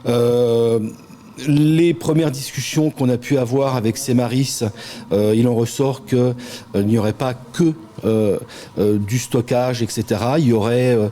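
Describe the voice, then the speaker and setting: A middle-aged man, a local elected official, answering interview questions in a measured way with frequent hesitant pauses. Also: medium pitch, fairly even intonation, warm tone, slight background noise. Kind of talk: interview answers, political talk.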